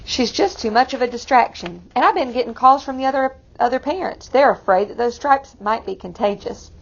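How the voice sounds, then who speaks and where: A woman's voice: fairly high pitch, fairly quick, highs cut off. A woman voicing an apologetic but firm male school principal from a picture book, sounding official.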